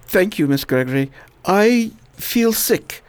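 A woman voicing a weary, Oxford-educated man fighting a plague, speaking low and wry in a radio drama. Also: animated, slow, a little mellow. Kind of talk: amateur radio drama acting.

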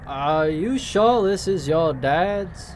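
A woman's voice: fairly low pitch, expressive, slow pace, a little mellow, slight background noise. A woman playing a folksy, wary shopkeeper with a Southern US accent and a drawl in a radio play. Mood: skeptical.

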